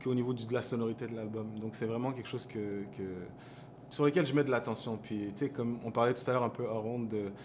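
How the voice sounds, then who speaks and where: A man's voice: mid-range voice, steady tone, fast talker, darkish tone, a little hiss or hum. A man from Montreal, talking in a casual interview and searching for words as he reflects. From Montreal, Quebec. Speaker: hip-hop artist guest. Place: café.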